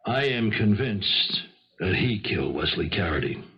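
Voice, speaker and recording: A shrewd, folksy police lieutenant in a vintage radio mystery. His voice is low and husky, his manner casual and slyly probing. The old recording is muffled. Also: big pitch swings, a little slow, heavily acted. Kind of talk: 1970s radio drama acting.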